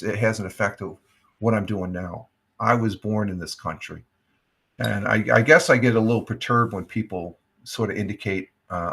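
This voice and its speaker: A man's voice: on the low side, creaky, fairly bright tone, slightly rough. An older American man hosting a casual livestream chat, rambling with many fillers and restarts. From United States. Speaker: livestream host.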